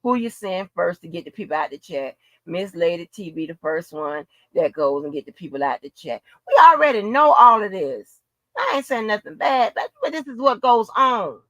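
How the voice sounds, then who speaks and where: A woman's voice: on the low side, very animated, normal speed, sharp tone, no echo. A woman in an unscripted livestream rant, blunt, profane and confrontational, repeating phrases for emphasis. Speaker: livestream host.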